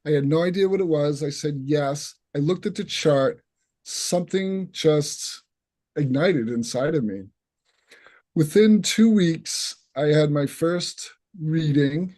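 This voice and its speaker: A man's voice: on the high side, slow, dark tone. A man with a Boston accent, introducing himself as an instructor on a video call and telling his story in an earnest way. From northeastern United States. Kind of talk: online open house self-introduction.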